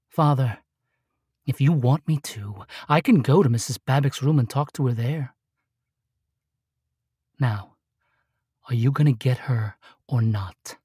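An actor in a radio play playing a hard-nosed, insinuating Pinkerton detective, pushy and coolly threatening. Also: male voice, on the high side, breathy edge, no echo, clean studio sound.

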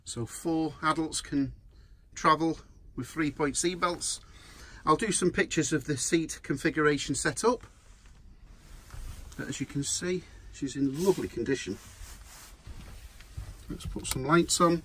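A man's voice: fairly high pitch, a little slow, pauses often, fairly bright tone, slight background noise. A man giving an upbeat, chatty walkthrough of a small camper van, filming on a handheld phone. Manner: chatty, upbeat sales patter. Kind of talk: handheld walkaround video tour. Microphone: phone camera held in hand.

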